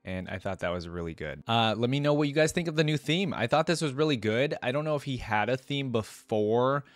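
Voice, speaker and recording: A man's voice: mid-range voice, animated, a little fast, dry recording, faint music behind. A man hosting a reaction video, chatting casually to viewers and thinking aloud as he comments. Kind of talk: casual commentary, reacting to game music and artwork.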